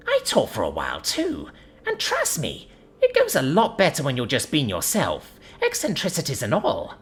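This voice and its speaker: A man voicing a wise old male rabbit teacher in a storybook reading, lecturing gently. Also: wildly swooping pitch, moderate pace. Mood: encouraging. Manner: gently lecturing, swooping high.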